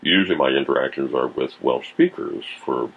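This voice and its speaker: Man, tennessee roots, rough creaky low voice, thoughtful chat, tinny phone-like audio. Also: fairly quick. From Tennessee, USA. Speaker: podcast guest, missionary. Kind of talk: casual conversation.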